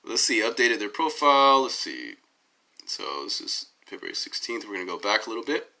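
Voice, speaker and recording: A hoarse man with a cold, rambling through a self-recorded phone commentary with lots of fillers and restarts while he clicks through web pages. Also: lowish voice, very animated, fairly quick, sharp tone. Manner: tangential, many fillers and restarts. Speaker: DJ giving his side of a dispute. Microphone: phone held up at arm's length.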